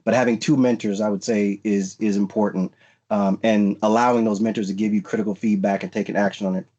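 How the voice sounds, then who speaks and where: Low-voiced man giving career advice. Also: fairly even intonation, fairly quick, mellow, highs cut off. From United States. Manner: frequent fillers and restarts, earnest advice-giving. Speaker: panelist at a recruiting event. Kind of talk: virtual panel talk.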